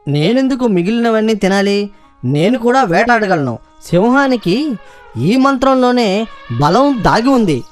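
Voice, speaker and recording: A man's voice: high voice, very animated, a little slow, soft background music. A man voicing an eager, boastful, scheming jackal who pleads his way through a children's cartoon fable. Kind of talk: children's cartoon story acting.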